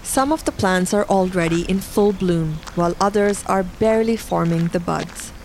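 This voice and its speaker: A woman with a somewhat low voice narrates a scripted documentary reportage. She delivers prepared descriptive text, with ambient noise audible behind her. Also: moderate pace, darkish tone.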